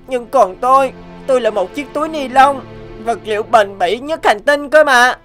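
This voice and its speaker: A woman's voice: high voice, moderate pace, over music, natural voice. A woman voicing a naive, proud and anxious plastic bag in a children's cartoon, exclamatory and plaintive. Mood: indignant, dismayed. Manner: exclamatory, plaintive, wide-eyed. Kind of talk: animated children's cartoon acting.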